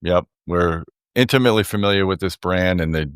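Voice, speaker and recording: A man's voice: low-pitched, fairly quick, clear, resonant tone, no room sound, studio quality. A man with an American accent, hosting a casual interview chat. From United States. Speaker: host, audio reviewer.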